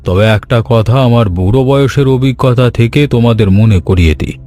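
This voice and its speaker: A man's voice: medium pitch, brisk, dark tone, lightly acted. A man voicing a kindly old village uncle in a cartoon story, with a fatherly, moralizing manner. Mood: gently advising. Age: older. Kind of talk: Bengali animated story voice acting.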